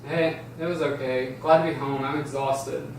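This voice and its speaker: A man's voice: mid-range voice, fairly even intonation, moderate pace, natural voice. A man reading the part of a tired, stressed schoolboy brother in an amateur script table read. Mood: tired, evasive. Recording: very echoey room, distant mic, background hiss.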